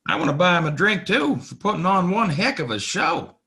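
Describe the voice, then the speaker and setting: Man playing an excitable, high-pitched cowboy sidekick. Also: a little fast, full character voice. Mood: eager, teasing. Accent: American. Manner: folksy, excitable, stammering. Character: good-natured, nervous, teasing cowboy sidekick. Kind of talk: Western audio play acting.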